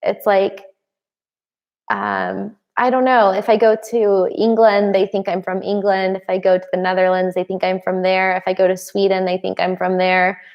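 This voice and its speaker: A woman's voice: mid-range voice, little pitch movement, moderate pace, lo-fi sound. A young adult woman hosting a casual live stream Q&A, reading out viewer comments and answering them with frequent laughs. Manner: laughs often between remarks.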